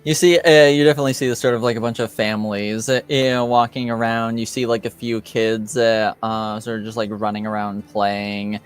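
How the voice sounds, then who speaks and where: A man narrating a tabletop roleplaying game as game master, with halting delivery full of fillers. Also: on the low side, level tone, smooth, faint music behind.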